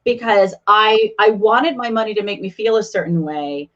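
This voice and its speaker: Woman podcast host, open and confiding, halting, kinda muffled lo-fi audio. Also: mid-range voice. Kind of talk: casual interview chat. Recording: slightly dull lo-fi sound.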